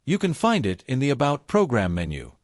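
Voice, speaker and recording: Man narrating a tutorial, lively pitch, dry sound. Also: fairly quick, no echo. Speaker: tutorial presenter. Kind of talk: scripted step-by-step tutorial narration.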